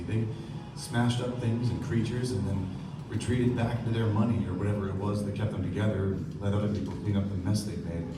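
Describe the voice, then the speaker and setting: An actor playing a reflective, disillusioned young man, narrating a staged literary radio play in a measured, solemn way. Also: on the low side, background noise, natural voice. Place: live theatre stage. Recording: echoey hall, distant mic, loud music behind.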